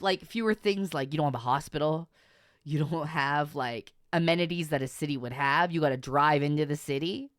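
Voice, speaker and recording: Animated woman chatting on a livestream. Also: on the low side, dry recording, studio quality. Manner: animated, emphatic, swears freely. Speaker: VTuber streamer. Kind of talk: casual livestream chat.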